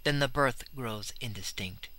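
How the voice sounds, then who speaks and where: A man's voice: medium pitch, a little slow, sharp tone, dry recording. A man playing a terrified young sailor, telling a horror tale of the sea with intense dread. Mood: vengeful, frantic. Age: young adult. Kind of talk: dramatic first-person horror storytelling.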